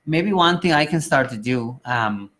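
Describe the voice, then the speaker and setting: A male chat show host with a mid-range voice. He rambles warmly through his points, with plenty of ums and restarts. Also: mid-range voice, animated, measured pace. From Guyana. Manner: rambling, with many um fillers and restarts. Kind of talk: casual live chat show.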